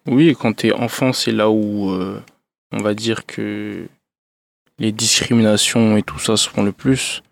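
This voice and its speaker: Male radio guest, low and gravelly, laid-back and thoughtful. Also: unhurried. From France, Gabonese parents. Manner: reflective, relaxed, with fillers. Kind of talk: radio interview. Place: radio studio.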